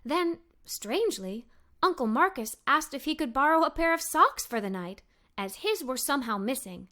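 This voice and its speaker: Woman reading a kids story out loud, really high voice, super expressive. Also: moderate pace. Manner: animated, expressive. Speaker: storybook narrator. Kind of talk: scripted children's story read-aloud.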